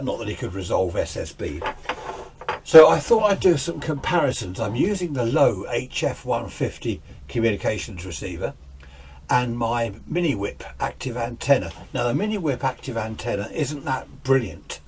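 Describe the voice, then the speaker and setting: A man's voice: mid-range voice, lively intonation, moderate pace, a little mellow. A British man giving a casual, rambling, off-the-cuff demonstration as an amateur radio hobbyist in a home radio room. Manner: rambling, conversational. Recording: noisy room, radio static behind.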